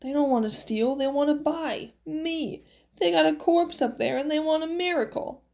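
A woman's voice: high-pitched, moderate pace, vocal fry, darkish tone, pitched above the performer's own voice. A woman voicing a cranky, stubborn old man who haggles and grumbles in an audiobook story, brusque and colloquial. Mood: excited.

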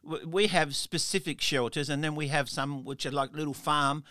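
A bright, fairly high-voiced man hosts a relaxed interview. He circles his questions with restarts and chuckles, and the sound is dry and close. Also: moderate pace, no room sound. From Australia. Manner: rambling questions with frequent restarts and laughs. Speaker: interview host. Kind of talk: casual conversational interview.

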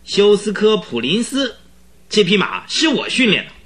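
A man's voice: high voice, big pitch swings, normal speed, a bit bright, slightly rough, exaggerated voice. A man playing an agitated, pleading horse trainer in his mid-twenties in a radio drama, stammering and exclaiming. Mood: proud, excited. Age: young adult.

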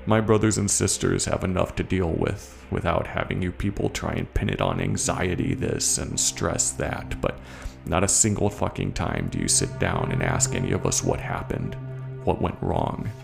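A man playing a bitter, haunted military veteran in a gravelly, confiding horror story monologue, profane and speaking straight to the listener. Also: low voice, animated, normal speed, full character voice. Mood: defensive, angry. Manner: conversational, confiding, addresses the listener directly. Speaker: narrator of a horror short story. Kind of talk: scripted first-person horror monologue. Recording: voice over a music soundtrack.

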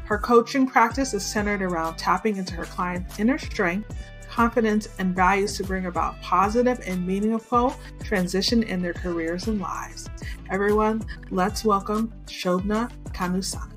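A woman's voice: mid-range voice, moderate pace, soft background music. A woman hosting a podcast interview, speaking in a friendly way that is hesitant and full of fillers. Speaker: podcast host.

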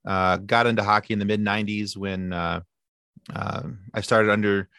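A man's voice: fairly low pitch, level tone, normal speed, sharp tone, clear, resonant tone, dry recording, clean studio sound. A man chatting as a podcast guest, easygoing, sometimes searching for words. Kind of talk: casual chat.

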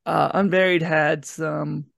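A man's voice: fairly high pitch, level tone, takes it slowly, vocal fry, fairly bright tone, no room sound, clean studio sound. A young man co-hosting a podcast in a casual review chat, often giggling through his words. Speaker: podcast co-host.

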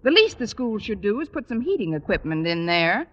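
A woman playing a dry-witted schoolteacher who narrates and trades deadpan wisecracks in a 1950s radio sitcom. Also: mid-range voice, a little fast. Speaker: English teacher and narrator. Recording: dull old radio broadcast recording.

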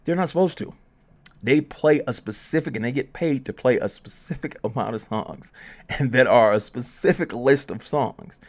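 A man's voice: medium pitch, moderate pace. A man presenting music promotion advice in a casual, conversational way, informally repeating phrases for emphasis.

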